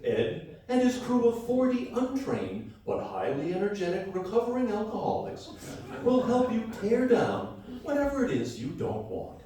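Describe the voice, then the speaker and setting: A man's voice: high voice, huge pitch swings, normal speed. A man co-hosting a live comedy radio show on stage, reading scripted mock announcements. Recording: echoey hall stage, distant mic.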